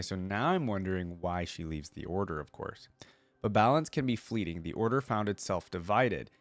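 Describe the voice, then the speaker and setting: Low-voiced man doing casual reaction commentary. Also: no room sound. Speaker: host of a reaction video. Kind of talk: casual reaction commentary mixed with reading aloud.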